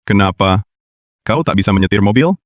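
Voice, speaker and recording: Man doing a low voiced bossy young ceo, short commanding lines, kinda dull dry recording. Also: animated, a little fast, dry recording, lightly acted. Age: young adult. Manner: curt, commanding. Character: cool young male company president. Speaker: company president. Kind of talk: dubbed drama.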